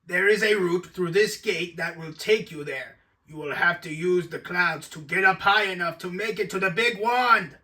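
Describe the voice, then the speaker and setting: Mighty fairy commander, cartoon, booming, pompous, piercingly bright voice, slightly distant recording. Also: male voice, normal speed. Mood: commanding. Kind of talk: cartoon hero acting.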